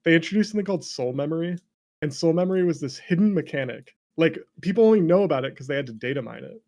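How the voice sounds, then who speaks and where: A man with a slightly high voice and a lot of creak in it chats casually about video games. He rambles through his opinions in an unguarded way and swears freely. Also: expressive, quick pace, darkish tone. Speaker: interview guest, game developer.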